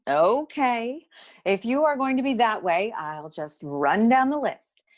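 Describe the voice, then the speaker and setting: An actress playing a brisk, know-it-all detective agency secretary in a noir comedy audio play, curt and dryly superior. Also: female voice, on the high side, lively intonation. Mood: testy, curt.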